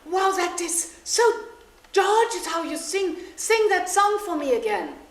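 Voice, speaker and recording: A woman voicing an enchanted goldsmith who speaks loudly with admiration. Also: very high voice, very animated, a little slow, pitched above the performer's own voice, heavily acted. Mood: awed. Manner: loud and admiring. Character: enchanted goldsmith, man, full of wonder. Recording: roomy echo, faint noise.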